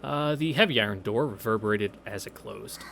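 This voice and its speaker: A man's voice: fairly low pitch, moderate pace, creaky, background noise. A man reading a visual novel aloud in a casual way, dropping sarcastic, sweary asides. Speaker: let's play host reading aloud. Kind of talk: casual read-through narration with wry asides. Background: game music.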